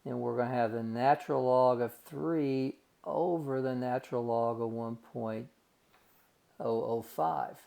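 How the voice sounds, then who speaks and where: A man's voice: medium pitch, fairly even intonation, unhurried, creaky, dark tone. A man teaching a recorded math lesson, working through calculations step by step in a folksy way and calling his students guys and gang.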